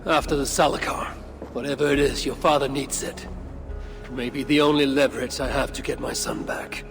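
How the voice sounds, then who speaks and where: An actor playing a grave, cunning Norse god in a video game, measured in storytelling and muttering in asides. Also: male voice, mid-range voice, fairly even intonation, over music, close to an everyday voice. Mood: defiant, scornful. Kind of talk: video game character acting.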